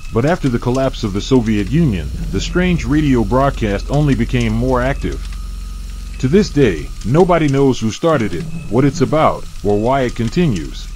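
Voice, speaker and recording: A man's voice: medium pitch, darkish tone. Male narrator for eerie documentary, mid-range voice, scripted read, light background noise.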